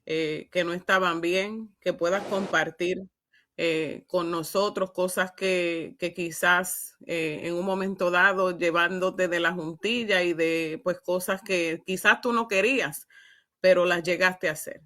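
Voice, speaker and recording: A bright, forceful woman host of a church program, feeling her way through questions with frequent amens. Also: on the low side, steady tone. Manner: halting, punctuated with amens. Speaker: host interviewing a guest. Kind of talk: religious testimony interview.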